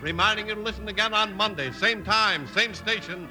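A man's voice: high voice, fairly quick. A man announcing in polished, declamatory 1950s radio style. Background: music.

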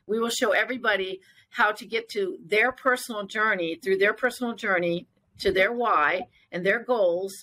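A woman with a bright, forceful voice and an ordinary mid-range pitch talks as a fitness trainer being interviewed. She is earnest and emphatic, pressing her points in an encouraging, conversational way. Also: normal speed, low-quality recording. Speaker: fitness trainer and gym owner, interview guest.

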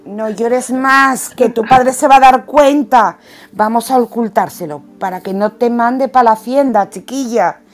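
A woman's voice: on the high side, unhurried, faint music behind, close to an everyday voice. A woman playing a bossy, protective stepmother in a radio soap opera. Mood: consoling, firm. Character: bossy, protective Spanish stepmother guarding family honour.

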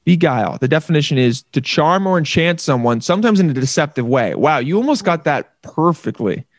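A man's voice: a little high, lively intonation, brisk, no echo. Male podcast host, playful and jokey, dry sound. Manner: playful, jokey, acts out little voices. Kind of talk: casual chat.

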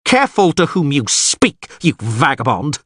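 A man's voice: mid-range voice, animated, measured pace, slightly rough, dry recording, pitched above the performer's own voice. A man voicing an agitated, haughty nobleman in an audiobook, with a strained, raised voice. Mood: furious, scornful. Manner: sneering, blustering, agitated. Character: haughty, furious nobleman, frightened and pleading when bound. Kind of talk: audiobook character acting.

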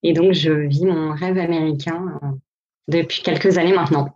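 A woman's voice: low voice, steady tone, moderate pace, a little mellow. A woman in her thirties, a guest in a casual interview, talking with many hesitations and fillers. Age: 30s. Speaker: podcast guest, brand founder. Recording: dull lo-fi sound.

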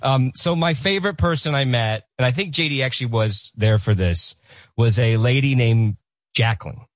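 A man's voice: mid-range voice, normal speed, no echo. Podcast guy telling convention stories, dry close mic. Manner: storytelling, laughs along. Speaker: co-host presenting clips. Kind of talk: casual comedy podcast chat.

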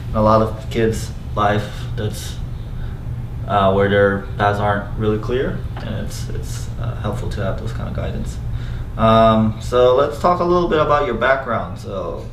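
A man with a lowish voice hosts a casual podcast and nudges his guest along with quick encouraging replies. He sounds far from the mic in a roomy space, with light background noise. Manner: encouraging, many short backchannels. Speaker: podcast host.

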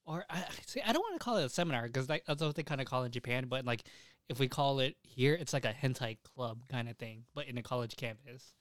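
A man's voice: medium pitch, animated, fast, no echo, studio quality. A young man hosting a podcast, talking informally with plenty of slang. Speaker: podcast host. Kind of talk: casual podcast chat.

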